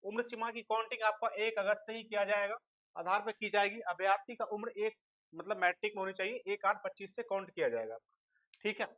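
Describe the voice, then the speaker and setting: Bright-voiced man teaching. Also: sits high, a little fast. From India. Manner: asks rhetorical questions and answers them, checks understanding; mixes in English terms. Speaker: exam coaching teacher. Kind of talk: instructional explanation to students.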